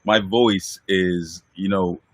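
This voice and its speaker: Man host, deep easygoing voice, dry close mic, chuckles a lot. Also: slow pace, dry recording. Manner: relaxed, chuckling, talks of his deep voice. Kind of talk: casual conversation.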